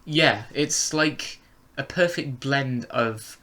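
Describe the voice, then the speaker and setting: A man's voice: mid-range voice, slow pace, pushed and bright. A man chatting casually as a co-host, agreeable and a little hesitant as he reacts. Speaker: review show co-host.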